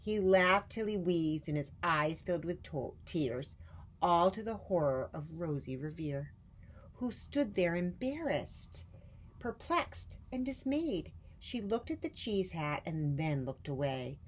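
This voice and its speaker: A woman storytime reader with a low voice. She narrates a children's book at a slow, measured pace and puts dramatic emphasis on her words. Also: big pitch swings.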